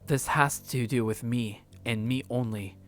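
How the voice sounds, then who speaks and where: A man's voice: medium pitch, steady tone, a little slow. A man streaming a let's play, reading a visual novel aloud and reacting casually.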